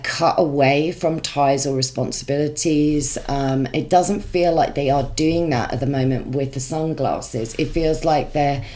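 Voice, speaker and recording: A woman with a congested voice, chatting through a tarot reading with many musing pauses and restarts. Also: low voice, darkish tone. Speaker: tarot reader.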